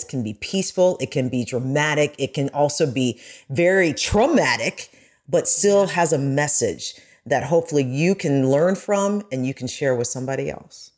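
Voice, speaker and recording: A woman's voice: low voice, darkish tone. A woman on a podcast panel, speaking casually and explaining her thoughts in a reflective way.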